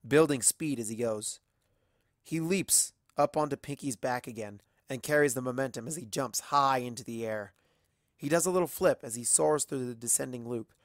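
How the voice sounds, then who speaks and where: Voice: medium pitch, no echo, studio quality. A narrator reading a first-person story expressively. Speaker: fan fiction narrator.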